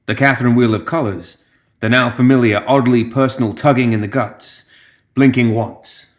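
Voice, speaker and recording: A man's voice: medium pitch, steady tone, normal speed. A man reading a story as a narrator, in a scripted dramatic style. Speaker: audio fiction narrator.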